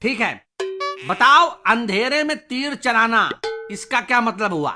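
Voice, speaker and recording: A man's voice: extremely high pitch, animated, a little slow. A man voicing an exasperated, scolding male schoolteacher in a comedy cartoon. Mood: stern, baffled. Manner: stern, scolding. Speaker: teacher. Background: music.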